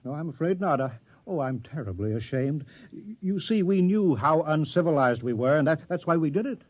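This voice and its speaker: Flustered mayor with swooping pitch. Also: male voice, lively intonation, fairly quick. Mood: flustered, apologetic. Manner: hesitant, stammering. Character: apologetic, flustered, mild small-colony mayor. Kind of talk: 1950s radio drama acting. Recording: dull old radio recording.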